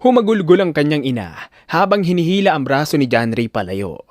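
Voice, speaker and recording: A man narrating a scripted audio drama in a solemn, expressive, theatrical storytelling style. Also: fairly high pitch, brisk, no echo, studio quality.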